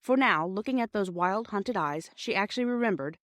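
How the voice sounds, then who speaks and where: A woman's voice: medium pitch, expressive, fairly quick, no room sound. Woman reading a storybook, very dry sound. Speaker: audiobook narrator. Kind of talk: scripted storybook narration.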